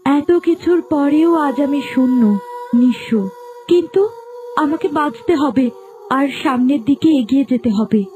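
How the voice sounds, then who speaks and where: A woman's voice: sits high, normal speed, darkish tone, lightly acted. A woman playing a devoted, heartbroken young woman in a melodramatic cartoon, with a plaintive, dramatic delivery. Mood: wistful. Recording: faint music under the voice.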